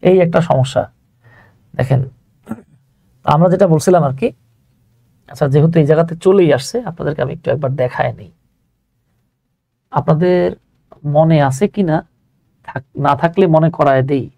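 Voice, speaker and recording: Higher-pitched Bangladeshi man teaching a lesson. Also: moderate pace, vocal fry, long pauses, dark tone, dry recording. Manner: informal, chatty, asks and answers own questions. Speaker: English grammar teacher. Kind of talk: live online lesson, explaining step by step to students.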